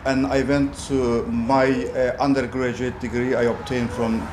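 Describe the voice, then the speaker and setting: A man in his forties from Cyprus, a property developer, answering interview questions in a measured, hesitant way with many fillers. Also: medium pitch, little pitch movement, noisy background. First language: Turkish. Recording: roomy echo with background music.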